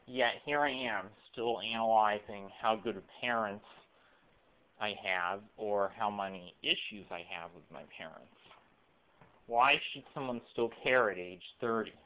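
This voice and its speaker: Male, late 20s, confessional rambler, halting and repetitive delivery, weak narrow-band phone-camera sound. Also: lowish voice. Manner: halting, repeats and rephrases himself. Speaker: video blogger. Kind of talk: rambling personal confessional monologue. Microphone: cell phone camera microphone. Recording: weak, muffled lo-fi cell phone audio.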